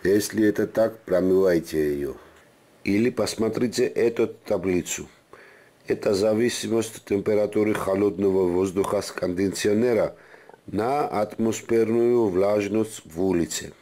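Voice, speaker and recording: A man with a very low, mellow voice narrates a how-to guide. He speaks as a tutorial presenter, giving step-by-step instruction in a dark, deep tone. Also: measured pace. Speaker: car repair tutorial presenter.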